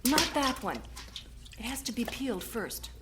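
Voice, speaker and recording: Lively, patient missionary wife. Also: female voice, fairly high pitch, moderate pace, very noisy. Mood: patient, instructive. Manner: instructive, homely. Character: patient missionary wife and mother teaching housework. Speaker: actor in an audio drama. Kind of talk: audio drama dialogue.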